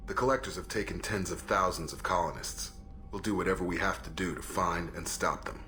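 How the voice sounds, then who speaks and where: An actor playing a steady, proud ex-military crew officer in a video game, plain-spoken and matter-of-fact. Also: male voice, deep voice, a little fast, slight background noise. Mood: resolute. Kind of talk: video game character acting.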